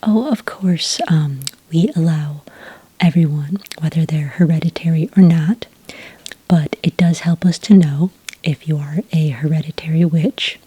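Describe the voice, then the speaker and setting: Very breathy, whispery woman, slow and soft. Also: low-pitched, no room sound, low-quality recording. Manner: gentle, slow, hesitant official patter. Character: polite, patient enrollment witch at a magical academy. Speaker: ASMR roleplay performer. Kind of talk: soft-spoken ASMR roleplay. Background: computer keyboard typing.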